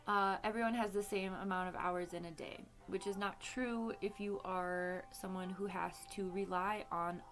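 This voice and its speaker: A woman in her late thirties talks in a loose, unscripted monologue, pausing to search for words and tossing in side remarks. At times she leans away from the mic and her voice drops to a low aside, while a faint bed of music sits behind her. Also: fairly bright tone. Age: 30s. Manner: candid and conversational, many fillers and asides. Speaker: podcast host. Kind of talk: casual monologue.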